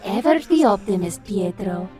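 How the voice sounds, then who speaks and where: A woman's voice: medium pitch, animated, normal speed, lightly acted. A woman voicing a young quarian woman who teases her friend in a wry way, with music behind her. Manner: teasing, wry.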